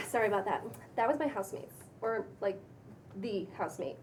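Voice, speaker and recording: A woman's voice: mid-range voice, very animated, normal speed, natural voice. A woman playing a bubbly, placating young influencer, casual and chirpy, in a table read of a pilot. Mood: explaining, casual. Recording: faint background noise.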